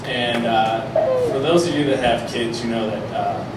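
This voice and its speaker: A man's voice: mid-range voice, moderate pace. A man doing a live comic storytelling performance, reading and talking to a room from a stage. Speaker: comedic storyteller. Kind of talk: live reading of a mock children's rhyme. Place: live venue stage before an audience. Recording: very echoey, noisy live room, far mic.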